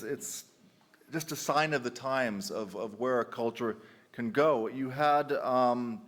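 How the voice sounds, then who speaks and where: Man giving a conference talk. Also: medium pitch. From United Kingdom. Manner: conversational, frequent restarts and ums, dry humor. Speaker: conference speaker. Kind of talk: conference presentation. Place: conference hall.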